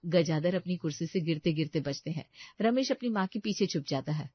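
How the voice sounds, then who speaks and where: A woman's voice: lowish voice, lively intonation, fairly quick, breathy edge, no room sound, lo-fi sound. A woman narrating a scripted story.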